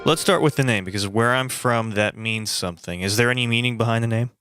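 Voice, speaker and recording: A man's voice: mid-range voice, fast talker, over loud music. A man hosting a podcast, asking direct, curious questions. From Uzbekistan. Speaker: podcast host. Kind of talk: interview questions.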